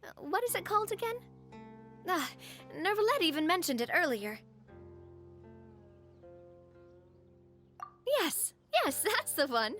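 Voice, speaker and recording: A high-voiced, courteous young woman from a video game. She speaks gently and considerately, with a lively, playful lilt over soft music. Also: normal speed. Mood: amused. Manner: polite, considerate.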